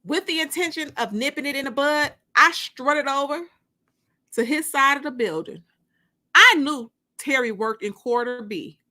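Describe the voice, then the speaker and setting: A woman narrating a first-person audiobook story in an expressive, emphatic, dramatic way. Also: high-pitched. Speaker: author and narrator.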